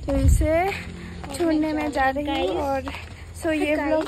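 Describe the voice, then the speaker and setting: A woman's voice: high-pitched, steady tone, slow pace, crowd noise. A young woman vlogging casually to a phone held at arm's length, chatty with hesitant restarts and easy laughter, from street to cafe to outdoors at night. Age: young adult. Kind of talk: casual vlog chat to camera.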